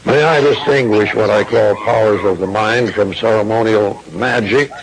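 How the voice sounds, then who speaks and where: A man delivering a formal esoteric lecture in a solemn, deliberate, grandiloquent manner. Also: fairly low pitch, noisy background.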